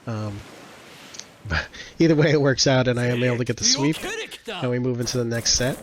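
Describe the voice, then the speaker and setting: A man's voice: medium pitch, lively intonation, a little fast, a crowd in the background. A congested man casually commentating his own game matches, explaining his plans with lots of fillers. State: congested, slightly stuffy.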